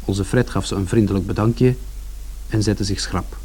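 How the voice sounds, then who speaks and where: An actor playing an observant son who narrates a family journey in a measured, descriptive way for a radio drama. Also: male voice, lowish voice, fairly even intonation, mellow, breathy edge. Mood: reflective.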